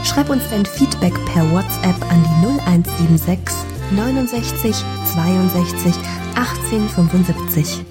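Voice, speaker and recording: A woman reading a scripted podcast outro in a clear, friendly way. Her voice is on the low side for a woman. Clear music plays under her. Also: expressive, measured pace. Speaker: outro announcer. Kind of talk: scripted announcement. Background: loud music.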